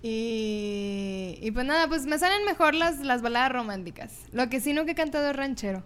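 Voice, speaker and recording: A woman's voice: high voice, lively intonation, moderate pace, fairly bright tone. A woman in her twenties with a Mexican accent, chatting informally as a guest in a casual interview. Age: 20s. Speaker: podcast guest, singer and TV presenter. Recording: clear background noise and room ambience.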